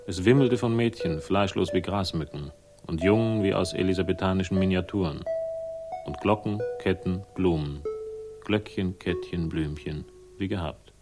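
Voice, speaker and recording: An actor playing a wry, world-weary private investigator in a radio crime drama, speaking in clipped, ironic, teasing phrases. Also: male voice, low voice, moderate pace, loud music behind the voice, close to an everyday voice. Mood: wry narration. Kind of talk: 1960s German radio crime drama, dialogue and narration.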